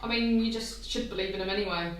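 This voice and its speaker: A woman co-hosting a podcast in casual chat, loud and excitable with frequent giggles. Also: mid-range voice, quick pace, roomy sound, a little hiss or hum, rough recording. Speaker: podcast co-host.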